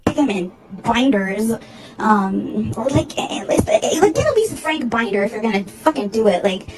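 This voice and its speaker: Woman, brash, profane rant, slightly high voice, played clip, music behind. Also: sing-song, moderate pace, rough recording. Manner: brash and swearing.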